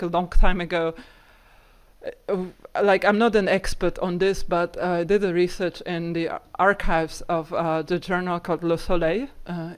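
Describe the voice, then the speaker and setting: A woman researcher answering audience questions after a talk, rambling with many fillers like "like" and "you know". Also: fairly low pitch. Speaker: guest speaker, architecture researcher.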